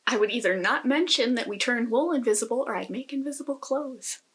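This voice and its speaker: A woman's voice: on the high side, brisk, fairly bright tone. A woman co-hosting a podcast, casually talking through a book in a thoughtful, opinionated way. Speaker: podcast co-host.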